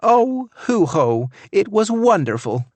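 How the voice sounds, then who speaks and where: A man's voice: high-pitched, sing-song, takes it slowly, darkish tone, no room sound, voice pushed up, full character voice. A man voicing an exuberant woman, the narrator's wife, who gushes in exclamations. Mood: gushing. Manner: gushing, exclamatory.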